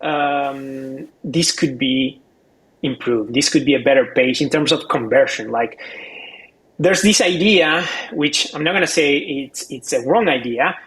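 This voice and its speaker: A man's voice: fairly high pitch, fairly bright tone, poor audio. A man giving casual expert commentary as a co-host, explaining step by step and often repeating words as he goes.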